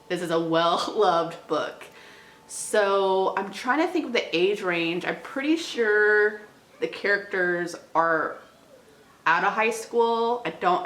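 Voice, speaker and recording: A woman's voice: lowish voice, fairly bright tone. Casual woman vlogger in an echoey room. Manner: informal, slangy, hedging. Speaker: book vlogger, host. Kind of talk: casual chat to camera. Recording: roomy indoor echo.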